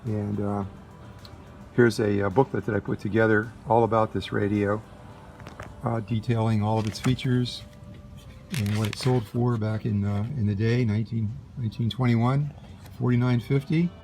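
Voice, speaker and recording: A man casually demonstrating a vintage radio in a halting, unhurried way, as a collector explaining his set. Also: on the low side, steady tone, a little mellow. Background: vintage radio playing music and broadcasts.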